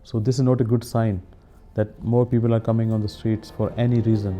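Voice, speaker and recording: A man who founded a charity, speaking earnestly in a documentary interview, with short filler pauses. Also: lowish voice, level tone, warm tone. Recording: music bed underneath.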